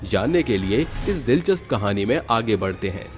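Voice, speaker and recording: A man's voice: on the low side, animated, normal speed. A man narrating a scripted dramatic story. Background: background music.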